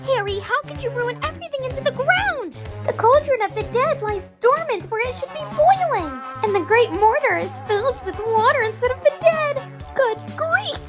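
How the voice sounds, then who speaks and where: A performer voicing a fussy, loyal old underworld attendant in an animated drama, chatty, deferential and fretful. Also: very high voice, moderate pace, few pauses. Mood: indignant, fretful. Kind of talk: animated-story character acting. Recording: music behind the voice.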